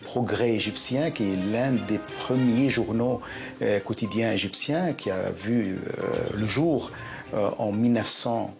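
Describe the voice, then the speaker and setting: A man's voice: fairly low pitch, unhurried, creaky, music in the background. A man interviewed for a TV report, speaking hesitantly and correcting himself. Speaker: interviewee about the press. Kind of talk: documentary interview.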